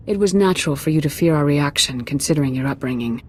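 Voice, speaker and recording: A woman's voice: low-pitched, expressive, quick pace, darkish tone, a little hiss or hum. A woman acting a composed, fair-minded Jedi grandmaster in a video game, speaking formally and with authority.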